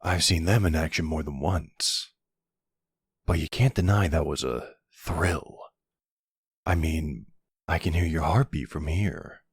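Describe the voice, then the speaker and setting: Man voicing a deep, gravelly, flirty vampire. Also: measured pace, dry recording, studio quality, heavily acted. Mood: exhilarated, teasing. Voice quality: gravelly, husky.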